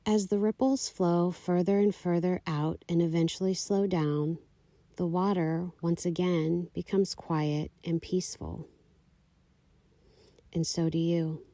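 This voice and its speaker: A woman leading a guided meditation, giving calm, soothing, unhurried instructions. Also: fairly low pitch, no room sound. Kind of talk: guided meditation, slow scripted narration.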